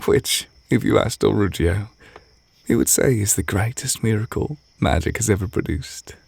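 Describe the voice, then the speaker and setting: A man voicing a tender, reserved witch teacher in a romantic ASMR roleplay, speaking softly and hesitantly, close to the mic with crickets behind. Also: lowish voice, creaky, breathy edge, dry recording, exaggerated voice. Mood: fond, gentle. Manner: soft, intimate, hesitant, gently measured. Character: tender, reserved male witch teacher confessing love to partner. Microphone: close microphone.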